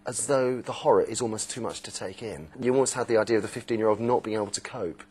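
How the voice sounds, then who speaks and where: A man's voice: fairly low pitch, quick pace, darkish tone, husky. A middle-aged man discussing a book on a panel in a thoughtful, analytical way.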